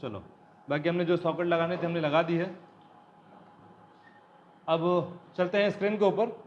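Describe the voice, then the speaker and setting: A man's voice: a little high, fairly even intonation, a little slow. A man teaching a hands-on electronics repair lesson, explaining step by step and asking and answering his own questions. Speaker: automotive electronics trainer. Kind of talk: hands-on technical tutorial. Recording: slightly dull recording, faint background noise.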